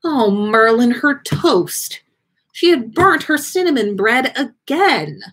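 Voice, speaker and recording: A woman voicing a dreamy, melodramatic female fortune-teller from a story, easily flustered, with halting exclamations and trailing pauses. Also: fairly high pitch, lively intonation, fairly bright tone, breathy edge, exaggerated voice. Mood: alarmed.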